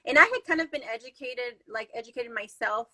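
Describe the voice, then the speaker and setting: Bright-voiced Chilean-born woman, chatty. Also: fairly high pitch, lively intonation, brisk. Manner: chatty, upbeat, many fillers. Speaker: podcast guest. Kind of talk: casual conversation.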